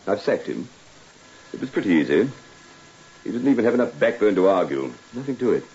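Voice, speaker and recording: An actor in an old-time radio drama playing a cold, scheming office boss, curt and formal in manner. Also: male voice, on the low side, big pitch swings, brisk, a little mellow. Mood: smug, conspiratorial. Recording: dull, lightly noisy old radio recording.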